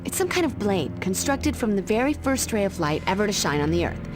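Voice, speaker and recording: A young American actress playing a determined demon slayer in a video game, dry and matter-of-fact. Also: female voice, medium pitch, sing-song, fairly quick, natural voice. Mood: grave, explaining. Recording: music behind.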